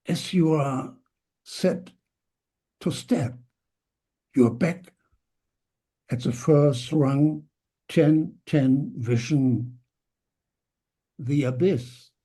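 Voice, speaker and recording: A man reading an English translation of a poem slowly and haltingly over a video call. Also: medium pitch, warm tone, breathy edge. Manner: slow, halting recitation. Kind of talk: poetry reading.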